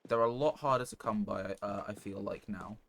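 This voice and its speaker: A man streaming a game live, giving casual commentary in a halting, rambling way as he thinks aloud. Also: lowish voice, gravelly, fairly bright tone. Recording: noticeable background noise.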